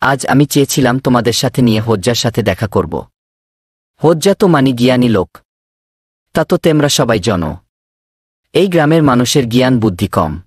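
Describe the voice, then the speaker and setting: A man's voice: medium pitch, slightly rough, no echo, studio quality. A man voicing an eager village man in a cartoon, earnest and persuasive as he lays out plans. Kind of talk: cartoon voice acting.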